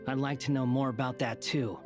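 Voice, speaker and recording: Very low, aloof young wanderer. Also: female voice, gravelly, exaggerated voice. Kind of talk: video game voice acting.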